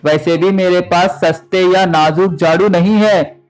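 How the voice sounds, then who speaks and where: A man voicing a crafty, grumbling street broom seller in an animated story, exclaiming and calling out. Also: high voice, a little slow, a little hiss or hum, low-quality recording. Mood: exasperated.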